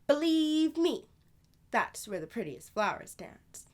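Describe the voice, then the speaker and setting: A woman voicing a playful, knowing older sister who tells fanciful tales in an animated, confiding way for a children's storybook. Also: sits high, slow pace, voice pushed up, heavily acted. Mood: excited, confiding. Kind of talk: children's storybook read-aloud.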